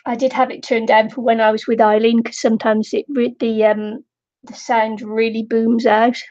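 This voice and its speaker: A woman's voice: on the high side, level tone, moderate pace, a little mellow, muffled recording. A middle-aged woman chatting casually while crafting on a live stream, slightly nasal from a cold, rambling and giggling often. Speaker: craft vlogger.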